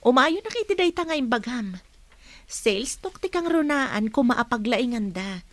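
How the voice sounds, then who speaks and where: An actress playing a composed, authoritative manager in a radio drama, with an Ilocano Filipino accent. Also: female voice, fairly high pitch, expressive, moderate pace, no echo, lightly acted. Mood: businesslike.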